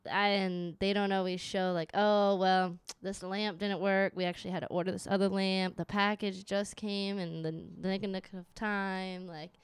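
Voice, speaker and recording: A woman talking casually as a podcast co-host, chatty and quick with relatable asides. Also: medium pitch, level tone, smooth, dry recording. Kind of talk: casual conversation.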